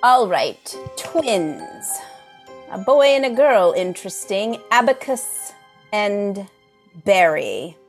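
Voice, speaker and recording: A woman playing a fussy, confused magical sorting hat in a comedy sketch, halting and perplexed. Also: medium pitch, very animated, faint music behind. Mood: pondering. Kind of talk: improvised comedy audio sketch.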